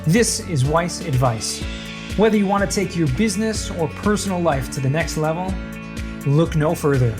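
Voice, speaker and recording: An announcer reads a scripted podcast intro in a promotional style. The pitch is mid-range, and plucked guitar music plays clearly behind the voice. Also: expressive, moderate pace.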